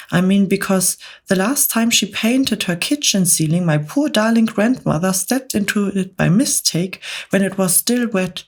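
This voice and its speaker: A woman reading aloud, voicing a fussy, fretful female spider in a higher voice. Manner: mournful, dramatic.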